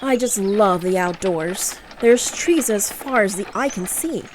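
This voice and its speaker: A woman's voice: mid-range voice, unhurried, background noise, close to an everyday voice. A woman playing a sassy, wisecracking sister on vacation in an audio drama, with a teasing delivery. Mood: cheerful.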